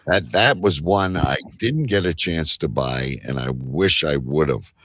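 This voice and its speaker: A man's voice: rumbling low, unhurried, fairly bright tone, no echo, clean studio sound. A man hosting a casual panel chat, bantering in a blunt, teasing way. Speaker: show host.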